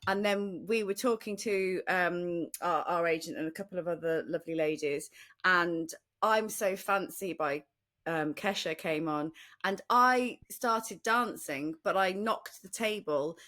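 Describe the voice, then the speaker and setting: A woman's voice: fairly low pitch, a little slow, a bit bright. A British woman co-hosting a podcast, casually telling anecdotes in a jokey, self-deprecating way. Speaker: podcast co-host.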